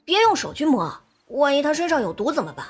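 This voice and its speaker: A woman's voice: high-pitched, sing-song, fast. A woman voicing a clever, precocious four-year-old boy in an audio drama, with a wheedling, childish whine. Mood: whiny.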